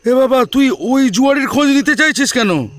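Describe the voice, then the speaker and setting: High-pitched, scornful young gossip. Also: male voice, normal speed, slight background noise. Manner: excitable, disapproving, colloquial. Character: young man, gossipy scornful friend of the hero.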